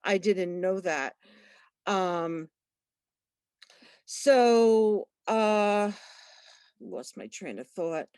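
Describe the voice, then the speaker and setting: A woman librarian giving a talk at an online book launch, halting, with many fillers and restarts. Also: medium pitch, lively intonation, a little mellow, smooth, no echo. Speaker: librarian and researcher. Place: online video call.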